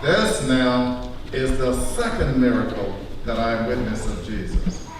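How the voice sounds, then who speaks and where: Guy as bible storyteller disciple, slow n measured, recorded from far back in a big reverby church. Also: mid-range voice, animated, natural voice. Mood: reverent narration. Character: John, assured gospel storyteller and disciple. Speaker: narrator. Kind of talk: scripted scripture narration in live drama. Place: church hall, live stage performance. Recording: distant echoey hall, faint noise.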